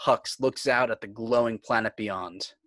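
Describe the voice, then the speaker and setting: A man's voice: lowish voice, a little slow, fairly bright tone, no echo. Male narrator with a dry, close sound. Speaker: narrator reading stage directions. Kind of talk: dramatic scripted narration.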